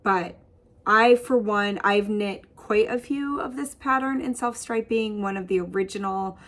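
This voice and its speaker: A woman in her thirties hosting a casual knitting podcast from her home craft studio, chatty and bubbly, with a husky voice. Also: medium pitch, measured pace, fairly bright tone. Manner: bubbly, chatty, laughs often, gestures while talking. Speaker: host. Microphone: webcam framing at desk.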